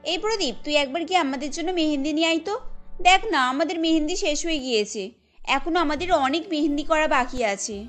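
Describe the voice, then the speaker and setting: A woman's voice: high voice, lively intonation, fairly quick, faint music behind. A woman voicing a bossy but affectionate young bride in a cartoon, coaxing and familiar.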